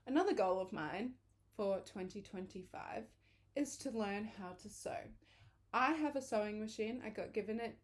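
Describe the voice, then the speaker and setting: A young woman chats casually to camera. She rambles and corrects herself, and her voice is a little hoarse. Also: mid-range voice, moderate pace. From England. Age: early 20s. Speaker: vlogger.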